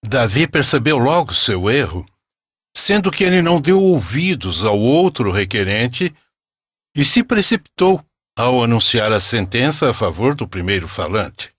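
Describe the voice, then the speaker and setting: A man's voice: mid-range voice, sing-song, a little slow, a bit bright, slightly rough, no echo, clean studio sound. A man narrating a scripted religious story in a solemn storyteller's manner.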